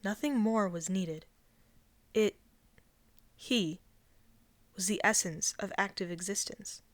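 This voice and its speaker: A woman narrating a written story in a scripted, expressive reading style. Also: medium pitch, slow pace, dry recording. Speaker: fan fiction reader.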